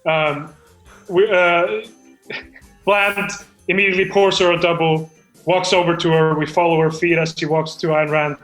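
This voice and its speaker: A man playing an earnest, defensive amateur screenwriter who rambles haltingly through an improvised comedy table read. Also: on the high side, level tone, a bit bright, echoey room, soft background music, low-quality recording. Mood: reading aloud. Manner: halting, rambling, self-justifying. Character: earnest, defensive amateur screenwriter presenting his own script. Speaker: performer on a comedy podcast.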